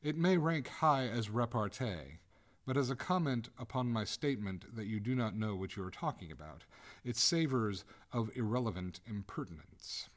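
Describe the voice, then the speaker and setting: A man reading a story aloud, voicing the prim, reproving ghost of a drowned maiden, in a voice close to his own low narration. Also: lively intonation, moderate pace, lightly acted. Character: haughty drowned maiden ghost, centuries old.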